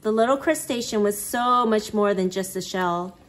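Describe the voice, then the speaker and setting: A woman's voice: mid-range voice, moderate pace. A woman reading a children's picture book aloud expressively, acting out exclamations and sound effects. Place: living room at home.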